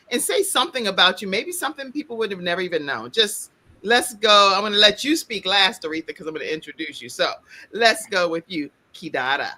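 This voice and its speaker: A woman hosting a talk show over a video call, animated and playful, laughing often. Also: mid-range voice.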